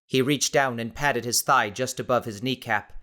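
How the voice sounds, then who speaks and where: A man reading dramatic scripted audiobook narration in a measured, suspenseful manner. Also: mid-range voice, sharp tone.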